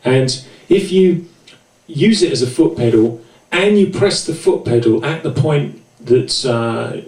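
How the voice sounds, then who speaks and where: A man's voice: a little high, animated, unhurried, a little mellow. A man presenting an informal, explanatory music gear tutorial with hesitant, self-deprecating asides. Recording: roomy, echoey, distant mic.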